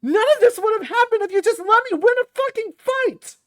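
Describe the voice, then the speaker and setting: A woman voicing a furious, defiant young male fighter in a visual novel, shouting, swearing and confrontational. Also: very high voice, animated, a little fast, no echo, clean studio sound, pitched above the performer's own voice.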